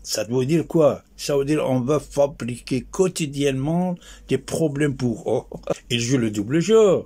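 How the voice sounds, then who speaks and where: A man giving an impassioned political monologue, emphatic and exhortative, repeating phrases insistently, with a raspy, gravelly voice. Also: mid-range voice, a little slow, dark tone. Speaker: political commentator.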